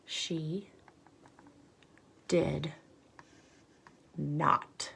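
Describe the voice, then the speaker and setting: A woman reading a picture book aloud in an expressive, teacherly way, pausing to put asides and questions to young listeners. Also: low voice, vocal fry, noisy background. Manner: animated, teacherly, pauses for questions.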